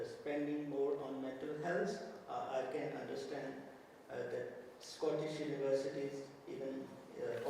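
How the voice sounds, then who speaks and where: A young man speaks English as a second language, halting and apologetic, with plenty of filler sounds. His voice sits a little high and carries in a reverberant hall, with light background noise. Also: warm tone. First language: not English. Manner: hesitant, many fillers, apologetic. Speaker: student election candidate. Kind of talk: campaign answer at a hustings. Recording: echoey hall, mic from far off.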